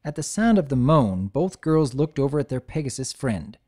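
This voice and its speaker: Woman narrator, low voice, lively intonation, expressive storytelling. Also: normal speed, breathy edge. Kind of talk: dramatic audiobook reading.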